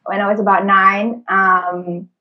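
A woman's voice: medium pitch, flat delivery, slow pace. Woman from Indonesia, slightly muffled audio. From Indonesia and Singapore. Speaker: interview guest. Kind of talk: casual conversational interview.

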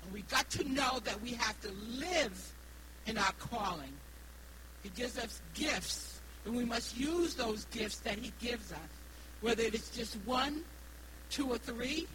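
A woman in her sixties with a New England accent preaches haltingly, repeating phrases and stressing key words. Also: a little high. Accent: New England American. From Rhode Island, United States. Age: 60s. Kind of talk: evangelical sermon with personal testimony. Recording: noisy live recording with hum.

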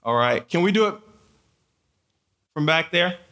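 A man's voice: a little high, animated, unhurried, pushed and bright. An American man preaching conversationally to a live audience of teens, casually repeating phrases and putting questions to his listeners. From born in Haiti, grew up in Michigan. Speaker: guest camp speaker, preacher.

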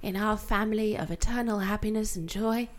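A woman playing a serene cult leader with a soft, sing-song, preachy manner. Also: mid-range voice, steady tone, a little fast, close to an everyday voice.

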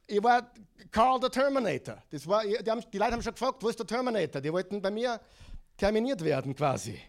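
High-voiced Austrian man preaching. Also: fast, vocal fry. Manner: lively preaching, asks the congregation to repeat words. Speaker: pastor. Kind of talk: sermon.

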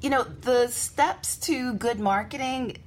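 A woman's voice: on the high side, takes it slowly, slight background noise. A woman speaking as an expert guest in a radio interview, explaining things emphatically. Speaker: marketing consultant, show guest.

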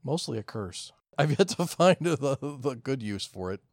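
Gravelly, animated man bantering casually. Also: mid-range voice, moderate pace, no room sound, studio quality. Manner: deadpan joking, profane. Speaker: podcast co-host. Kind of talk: casual comedic chat.

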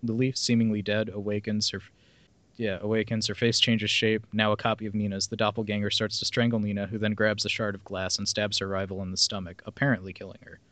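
A man's voice: fairly low pitch, flat delivery, quick pace, fairly bright tone, no room sound. A man hosting a podcast, reading a plot summary aloud with casual asides. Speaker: podcast host reading film synopses.

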